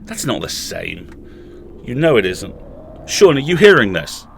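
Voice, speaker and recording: A man's voice: medium pitch, big pitch swings, a little slow, gravelly, background noise. A man playing a bitter, furious captive freed after years, speaking with dramatic emphasis in an audio horror drama. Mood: defensive, outraged.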